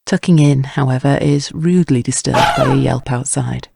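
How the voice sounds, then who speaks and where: A man's voice: medium pitch, moderate pace, creaky, noisy background. A man reading scripted narration for a crime audio drama.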